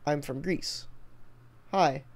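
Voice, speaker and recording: A man's voice: on the high side, slow. An American man from Texas chatting informally on a livestream, rambling and swearing as he reads and answers comments. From Texas, United States. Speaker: livestreamer and video editor. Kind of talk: casual livestream chat, reading and answering comments.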